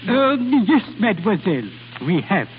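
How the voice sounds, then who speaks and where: Hen-pecked old duke, halting and apologetic, echoey crackly old radio. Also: male voice, sits high, big pitch swings. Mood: flustered. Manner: halting, hemming and hawing. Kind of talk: 1930s radio acting. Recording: echoey, muffled 1930s radio broadcast.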